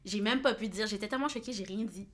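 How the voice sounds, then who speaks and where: A woman's voice: mid-range voice, quick pace, a bit bright. A woman from Montreal, candidly sharing personal stories in a casual chat. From Montreal, Quebec.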